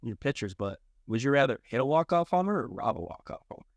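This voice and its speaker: A man's voice: medium pitch, sing-song, fast talker, dry recording. A man hosting a podcast, asking questions in an informal, easygoing and chummy way. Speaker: podcast host interviewing athletes. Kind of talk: casual interview chat.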